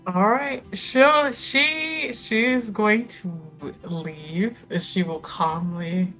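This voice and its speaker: A woman's voice: medium pitch, very slow, pushed and bright, soft background music. A woman playing a tabletop roleplaying game, narrating and chatting casually with a jokey, sweary edge.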